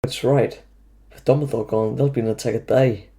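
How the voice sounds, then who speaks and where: A woman's voice: a bass voice, steady tone, fairly quick, warm tone. A woman playing a nervous, grumbling schoolboy sidekick in a fan audio drama, with an anxious, complaining delivery.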